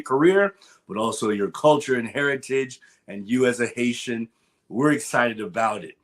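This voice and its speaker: A man's voice: lowish voice, a bit bright. A man hosting a sponsor interview for a conference, upbeat and emphatic, often repeating his phrases. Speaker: interview host. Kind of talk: enthusiastic conference promo interview.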